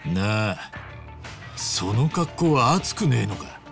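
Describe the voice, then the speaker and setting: A man voicing a loyal, big-hearted middle-aged ex-yakuza hero with blunt, rough street speech in a video game. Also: fairly low pitch, very animated, slow pace, music in the background, heavily acted. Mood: defiant, protective. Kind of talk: Japanese video game voice acting.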